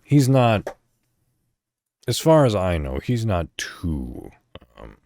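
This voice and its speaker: A man hosting a podcast solo, chatting casually and thinking out loud in a rambling way. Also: low-pitched, very animated, takes it slowly, no echo, studio quality. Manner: rambling, thinking aloud, mild swearing. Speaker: podcast host.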